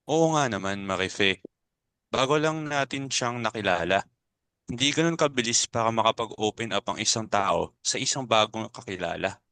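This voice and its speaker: A man acting a protective older club elder in a dramatic reading, reasoning and gently admonishing. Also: on the low side, normal speed, clean tone, dry recording. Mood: reasoning, firm. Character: Tito Dudes, protective, reasoning older male club member.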